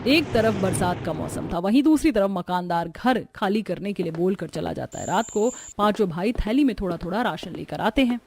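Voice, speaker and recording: A woman's voice: mid-range voice, animated, normal speed, vocal fry, few pauses, darkish tone, breathy edge, no echo. A woman reading scripted narration for a cartoon story as its narrator.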